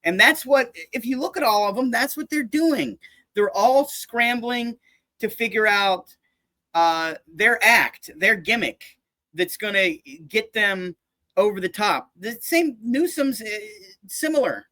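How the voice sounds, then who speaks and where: A man's voice: high-pitched, lively intonation, unhurried, bright, forceful tone, no echo. A man chatting casually about politics, rambling and restarting his sentences, dropping into mock voices for jokes.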